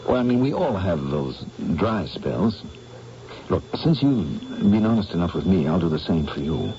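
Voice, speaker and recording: An actor with an English accent voicing a serious, earnest painter who speaks firmly and formally, in a 1970s radio drama. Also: male voice, low voice, big pitch swings, normal speed, mellow. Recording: dull old radio broadcast with faint hiss.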